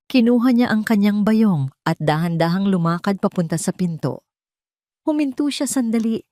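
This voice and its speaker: A woman's voice: sits low, a little mellow, no room sound, clean studio sound. A woman reading a scripted dramatic story as its narrator.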